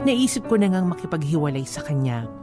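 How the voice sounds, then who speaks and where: Lovelorn girl narrating a radio drama, low voice, plaintive, music clearly behind. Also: very animated, fairly quick. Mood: wistful, hurt. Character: lovelorn young woman narrating her relationship troubles. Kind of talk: first-person scripted drama narration.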